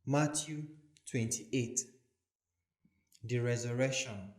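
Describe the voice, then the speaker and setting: A man with a Nigerian accent reading scripture as a measured, deliberate dramatic narration. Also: medium pitch. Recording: echoey voice recording with music. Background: soothing background music.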